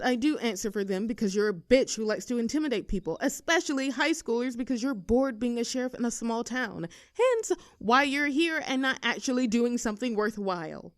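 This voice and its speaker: A woman's voice: a little high, dry recording, clean studio sound, natural voice. A young woman playing a rebellious teenage girl bully in an audio roleplay, with a sarcastic, teasing, brash manner. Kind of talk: scripted roleplay audio drama.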